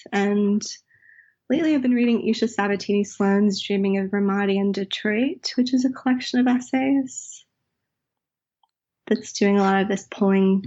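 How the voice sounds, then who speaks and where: A woman's voice: medium pitch, normal speed, muffled recording. A woman, a writer and professor, talking reflectively in an interview, often correcting herself mid-sentence. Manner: thoughtful, self-correcting, with soft laughs.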